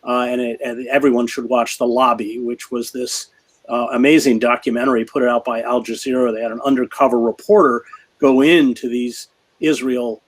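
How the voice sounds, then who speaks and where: A man answering an interviewer's questions as a journalist guest, speaking in a grave, measured way with many fillers and restarts. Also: mid-range voice.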